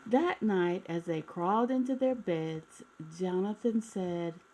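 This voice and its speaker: A woman reading aloud to young children in an expressive storytime style, addressing her listeners directly. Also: medium pitch, slow pace, slight background noise.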